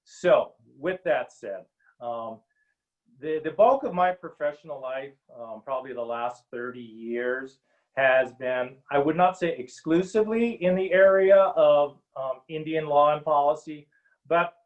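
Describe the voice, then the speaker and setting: A middle-aged man from Hawaii speaking earnestly as a panel guest on a video call, with many pauses and ums. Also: on the high side, narrow, tinny audio. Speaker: panel guest, Native policy advisor. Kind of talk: webinar panel talk.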